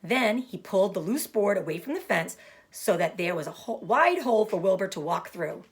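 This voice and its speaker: A woman giving an expressive storybook read-aloud for children, pausing to explain things simply. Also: mid-range voice. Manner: explanatory, addressing young listeners. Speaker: storybook reader.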